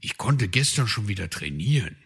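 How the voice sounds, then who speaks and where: Hearty fatherly old fox, gravelly, husky, storybook, spoken by a man. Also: on the low side, lively intonation, normal speed, fairly bright tone, full character voice. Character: kind elderly male fox, trainer.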